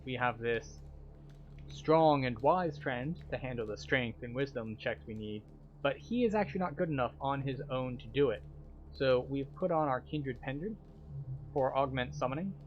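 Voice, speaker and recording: A man's voice: mid-range voice, lively intonation, normal speed, dull audio, a little hiss or hum. A man narrating a video game walkthrough as a tour guide, explaining each step. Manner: explanatory, guiding.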